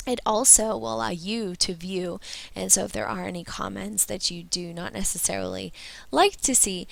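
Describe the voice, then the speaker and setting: A woman's voice: mid-range voice, animated, moderate pace, vocal fry, fairly bright tone, no room sound. A young woman, a teacher who sounds stuffy and under the weather, patiently walking colleagues step by step through a training session. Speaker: teacher leading staff training. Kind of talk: instructional screen-share walkthrough.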